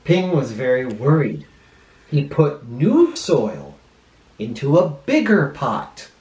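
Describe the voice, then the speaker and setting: A male teacher reading a picture book aloud to children expressively, pausing dramatically and explaining words in simple terms. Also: medium pitch, wildly swooping pitch, a little mellow. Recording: roomy, echoing room sound.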